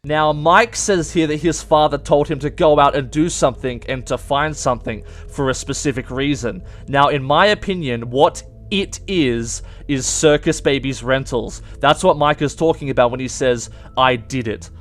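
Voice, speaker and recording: A man with a slightly high voice presents game theories in an informal, explanatory way. He leans hard on key words for emphasis. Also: moderate pace, few pauses, a bit bright. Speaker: video game theory host.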